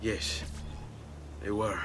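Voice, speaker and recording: A man's voice: extremely slow, fairly bright tone, a little hiss or hum. A man playing a grieving, protective young nobleman with an Italian accent in a video game. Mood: subdued, protective. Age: young adult. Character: grieving, protective young Florentine nobleman, earnest older brother. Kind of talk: video game cutscene acting.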